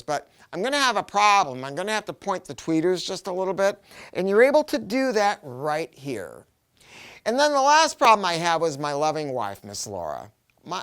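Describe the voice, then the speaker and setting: A man's voice: a little high, fairly bright tone, clear, resonant tone. A middle-aged American man giving a casual, enthusiastic product review in colloquial, emphatic language, with a rambling delivery.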